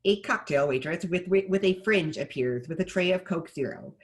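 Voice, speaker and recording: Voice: mid-range voice, animated, normal speed. A narrator reading scripted stage directions for a table read.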